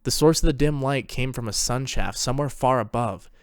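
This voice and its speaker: A man narrates a story from a script. His voice is medium in pitch, recorded very dry with no room sound around it. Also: fairly quick, smooth, no echo.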